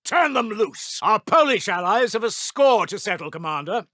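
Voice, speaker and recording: A man's voice: high voice, sing-song, normal speed, sharp tone, no room sound, heavily acted. A man playing a stern, zealous wartime intelligence officer in a strategy game, giving formal, clipped, martial announcements. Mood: resolute. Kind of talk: scripted game unit announcements.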